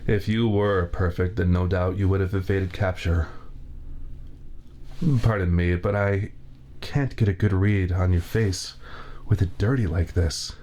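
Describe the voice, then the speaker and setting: A fussy veteran torturer, freshly laid off and full of spite, as a man acts him out in an ASMR roleplay. His voice is low and his speech very slow, deliberate and formally theatrical. A faint hiss sits under the close binaural sound. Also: fairly even intonation, darkish tone. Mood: fussy, clinical. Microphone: 3Dio binaural mic.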